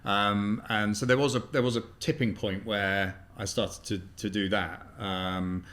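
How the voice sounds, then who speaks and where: 50s guy, lowish voice, lots of ums and uhs, chatty interview guest. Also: level tone, measured pace, a bit bright. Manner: hesitant, heavy on fillers. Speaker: guest, business buyer. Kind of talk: casual interview talk.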